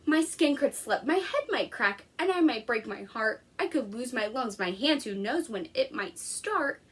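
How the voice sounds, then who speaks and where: Woman reading as an anxious little boy, high-pitched. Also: unhurried, husky. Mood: panicked. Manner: expressive storybook read-aloud. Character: anxious little boy narrating his literal-minded worries.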